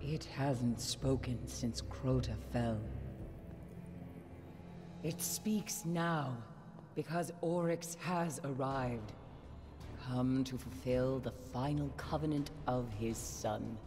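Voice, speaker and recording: Slow, haunted mystic seer. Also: female voice, extremely low pitch. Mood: ominous, grave. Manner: slow, cryptic, portentous. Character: haunted, grim female mystic seer, ominous. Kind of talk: cinematic game acting. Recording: reverberant hall, music behind.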